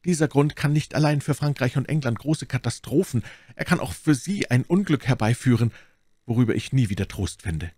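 Manservant reading a royal letter, performed by a man: beseeching, formal, lifted pitch, dramatic audiobook delivery. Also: expressive, a little fast, a little mellow, husky, dry recording. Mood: beseeching, solemn. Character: queen's imploring letter, read aloud by manservant.

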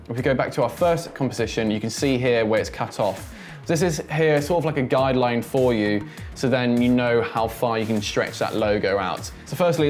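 A man in his twenties giving a casual, chatty software tutorial, thinking aloud as he demonstrates. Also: medium pitch. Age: 20s. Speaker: host, tutorial presenter.

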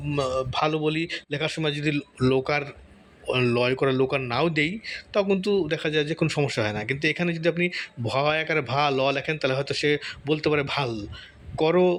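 A man giving a casual step-by-step software tutorial, often repeating himself and checking in with listeners. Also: fairly high pitch. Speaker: tutorial host. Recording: noticeable background noise.

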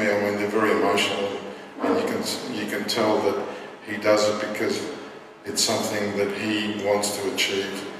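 A man giving a tribute speech from a lectern on stage, recorded from out in the audience. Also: fairly low pitch. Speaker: event speaker. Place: on stage in a dark event hall. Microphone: lectern, recorded from far camera. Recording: echoey hall, distant microphone, audience noise.

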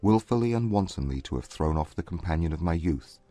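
An actor playing a proud, haughty young Regency gentleman in a dramatized audiobook, speaking in a formal, composed, measured way. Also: low voice, expressive, no room sound. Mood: stiff, wounded pride. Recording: dry close recording with music behind. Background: music.

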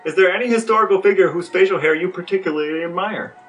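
A man's voice: sits high, fast, a bit bright, highs cut off, soft background music. A man co-hosting a podcast, asking mock-formal questions and chatting casually, on a slightly distant microphone. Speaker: podcast co-host and interviewer.

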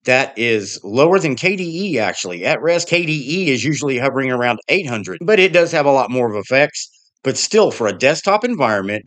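A man hosting a tech channel, casually narrating a screen recording as he clicks through menus and settings in a relaxed, conversational way. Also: medium pitch. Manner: relaxed, conversational, plain-spoken explaining. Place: voice-over for a desktop screen recording.

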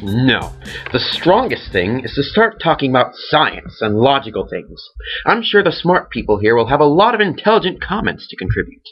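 Man as an animated, bookish squad member. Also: medium pitch, moderate pace, dull audio, over music, natural voice. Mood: earnest. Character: male squad member, bookish, earnest intellectual. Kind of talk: hobby radio drama acting.

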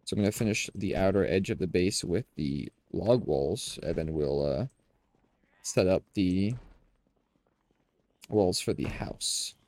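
A man's voice: deep voice, measured pace, long pauses. Nasal man casually narrating gameplay. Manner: relaxed, talks to viewers as "boys". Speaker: gaming streamer. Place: home room, indoors. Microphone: headset.

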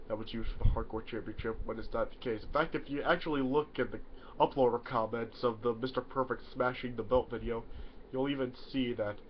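Young guy with a head cold, rambling bedroom vlog, lo-fi webcam mic. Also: mid-range voice. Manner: rambling, many "yeah" fillers and restarts. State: stuffy nose from a cold. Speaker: YouTuber. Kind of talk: casual to-camera explainer. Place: small bedroom indoors. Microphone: webcam in front of him. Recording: dull lo-fi webcam audio, faint hiss.

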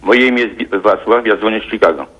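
A man calls in to a TV talk show, speaking formally and hesitantly, with reflective pauses. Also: lowish voice, fairly bright tone. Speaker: caller. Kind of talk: phone-in call to a TV talk show. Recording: dull phone line.

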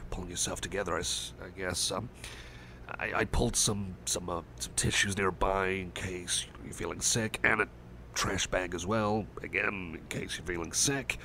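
A man voicing a gentle, caring male cyborg friend in an ASMR roleplay, talking softly and hesitantly with many fillers, sometimes dropping to a whisper. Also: low voice, slight background noise. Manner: soft, hesitant and rambling, with many fillers and restarts; drops to soft whispers at times. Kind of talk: ASMR roleplay, personal attention.

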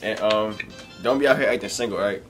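A man's voice: fairly low pitch, fast talker, fairly bright tone, faint music behind. A young man from Florida, joking and teasing in a casual video chat with strangers, laughing often. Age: young adult. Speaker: YouTuber. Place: small indoor room.

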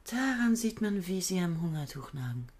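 A woman's voice: lowish voice, sing-song, normal speed, a little mellow. An actress playing a bitter, defensive young woman who has inherited land, speaking in clipped, resentful replies in a radio play. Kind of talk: 1970s radio play acting.

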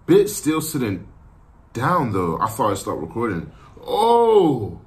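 A man's voice: mid-range voice, huge pitch swings, slow, darkish tone. A man in his twenties giving casual, slangy, profane reaction commentary as host of a video. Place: small room indoors.

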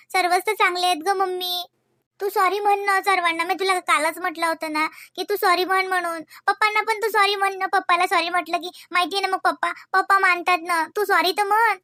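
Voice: very high voice, steady tone, a little fast, pushed and bright, dry recording, studio quality. A performer playing a little girl who pleads with her mother and keeps asking questions. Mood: pleading.

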